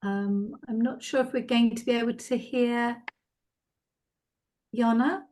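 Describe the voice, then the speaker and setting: A woman's voice: fairly high pitch, fairly even intonation, a little slow, darkish tone. A woman moderating an online question session, speaking politely and formally with hesitant phrasing as she hosts and reads out comments. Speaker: host and moderator of an online art talk. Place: online video event.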